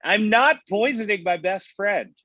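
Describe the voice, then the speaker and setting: Man playing an anxious, high-pitched friend. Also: a little slow, bright, forceful tone, no room sound, full character voice. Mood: defensive. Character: anxious, mild male friend, worried and conflicted. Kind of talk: table read of a comedy script. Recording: dull, close dry microphone.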